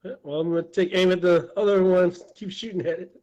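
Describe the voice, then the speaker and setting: A man's voice: high voice, fairly even intonation, quick pace, warm tone, lo-fi sound. A man chatting casually as a player during a tabletop roleplaying game.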